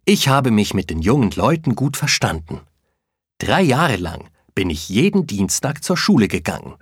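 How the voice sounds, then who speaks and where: An actor playing an Ecuadorian cook in his late thirties, telling his story in a plain, measured way. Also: fairly low pitch, sing-song, husky, dry recording, studio quality. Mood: sincere. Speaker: interviewee in textbook listening exercise. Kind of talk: scripted first-person account.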